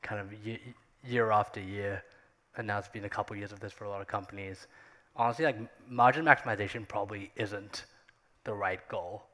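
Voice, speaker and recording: Male tech executive on stage. Flat intonation, halting conversational delivery, hall reverb. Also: on the low side. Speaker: tech company executive on a panel. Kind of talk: conversational panel discussion. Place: on stage at a conference. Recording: echoey hall with long reverb.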